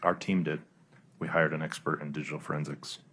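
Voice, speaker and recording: A male investigator testifying in a courtroom, answering in a halting, careful, hedging way. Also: very deep voice, level tone, fairly bright tone, slightly rough. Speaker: police investigator testifying as witness.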